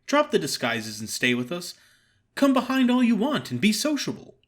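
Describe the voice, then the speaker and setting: A man's voice: high voice, huge pitch swings, moderate pace, voice pushed up. A man playing a breezy, cajoling theatrical fellow who talks in an emphatic, teasing, persistent way for an audio drama.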